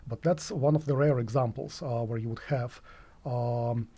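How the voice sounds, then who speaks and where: A man's voice: mid-range voice, fairly even intonation, moderate pace, dark tone, husky. A man in his forties from Russia with a Russian accent, an economics professor answering interview questions in his office, hesitant and full of filler sounds. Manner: hesitant, many filler sounds, chuckles while talking.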